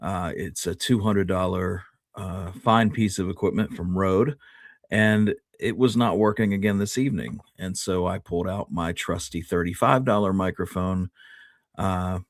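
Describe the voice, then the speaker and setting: A man with a low-pitched voice hosts a show in a casual, conversational way. The sound is dry, with very little room in it. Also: fairly even intonation, a little slow, dry recording. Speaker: podcast host. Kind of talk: casual chat.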